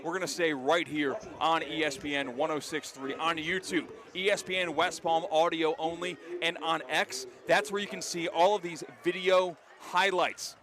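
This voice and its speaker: An American man doing live play-by-play radio commentary of high school football, excitable and ready to shout the big calls. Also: moderate pace, few pauses, a crowd in the background. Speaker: play-by-play announcer.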